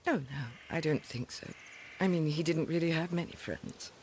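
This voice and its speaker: Actress as sorrowful widow, faint ambient noise. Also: female voice, deep voice, normal speed, darkish tone, close to an everyday voice. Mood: troubled, recounting. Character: sorrowful, respectable middle-aged Victorian widow. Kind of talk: scripted game dialogue.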